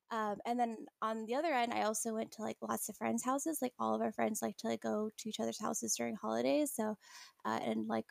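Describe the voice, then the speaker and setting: A woman from California hosting a relaxed podcast chat, rambling along with lots of fillers. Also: on the high side, vocal fry, no echo. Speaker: podcast host. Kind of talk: casual chat.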